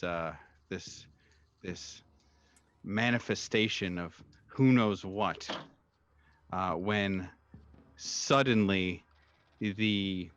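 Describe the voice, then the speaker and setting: A man narrating a horror tabletop roleplaying game as game master, feeling out his words with halting repetitions. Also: on the low side, a bit bright, no room sound, highs cut off.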